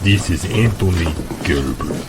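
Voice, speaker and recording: A very deep, slow henchman. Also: expressive, over music. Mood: deferential. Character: male henchman, deferential to boss, fond of pain. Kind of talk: audio drama acting.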